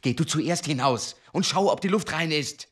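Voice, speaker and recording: An actor playing a horrified, scheming lover in a radio drama, speaking in curt, tense commands. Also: male voice, fairly high pitch, normal speed, a bit bright, husky. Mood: urgent, calculating. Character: horrified, wary, scheming male lover giving curt orders.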